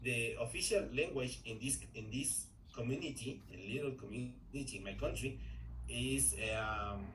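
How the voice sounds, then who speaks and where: A man from Ecuador, a native Spanish speaker with an Ecuadorian Spanish accent, talking haltingly in English class with many fillers and restarts. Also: mid-range voice, fairly bright tone. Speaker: English learner. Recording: echoey room, distant mic, faint noise.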